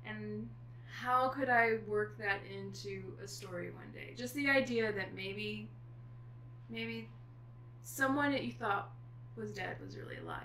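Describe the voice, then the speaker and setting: A middle-aged woman guest author chatting casually, telling stories in an animated way with thoughtful pauses. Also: medium pitch. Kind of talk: casual chat. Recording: echoey room with faint noise.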